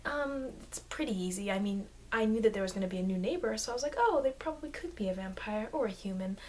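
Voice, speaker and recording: A woman's voice: mid-range voice, expressive, quick pace, a little mellow, natural voice. A woman playing a friendly, flustered, eager vampire girl in an ASMR roleplay, speaking in an awkward, hesitant, rambling way. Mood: excited, chatty. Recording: noisy amateur microphone, background hiss.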